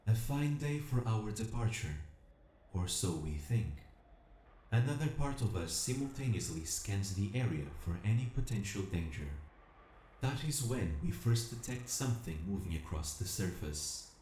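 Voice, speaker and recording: A man voicing a vast collective machine intelligence in an audio drama, narrating in a solemn, measured, formal and coldly analytical manner. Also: fairly low pitch, mellow, slight background noise. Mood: watchful, detached. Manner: measured, formal, speaking as a plural we. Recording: roomy echo with music behind.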